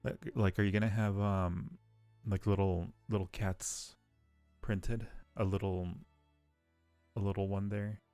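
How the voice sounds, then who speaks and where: A man's voice: lowish voice, level tone, unhurried, dark tone, no echo, faint music behind. A man chatting casually and playfully with viewers on a livestream, teasing them affectionately. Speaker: live streamer hosting a chat.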